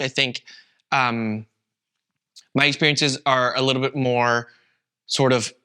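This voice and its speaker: Bright-voiced Canadian man, relaxed. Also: mid-range voice, smooth. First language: Vietnamese. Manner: relaxed, rambling, with many fillers. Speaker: podcast co-host. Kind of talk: casual chat.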